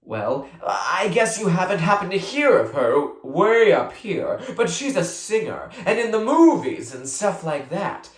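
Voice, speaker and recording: Storyteller as self-important young girl with worldly airs. Animated, offhand, slightly echoey storybook read. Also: medium pitch, unhurried, hardly any pauses, pitched above the performer's own voice. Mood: boastful. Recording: roomy echo.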